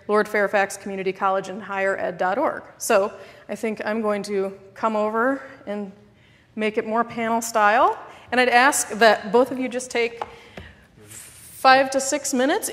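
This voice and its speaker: A woman in her thirties speaks from a podium microphone, opening a conference panel at a mid pitch with occasional fillers. A long hall reverb trails her words. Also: fairly bright tone. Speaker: moderator. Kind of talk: conference presentation. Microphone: podium mic.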